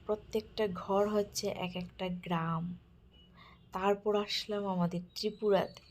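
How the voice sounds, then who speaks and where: A woman giving an admiring, soft-spoken narrated walk through an exhibit, now and then dropping to a whisper. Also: mid-range voice, steady tone, unhurried, a little hiss or hum. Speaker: vlogger touring a village-life exhibit. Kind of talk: casual narrated walkthrough.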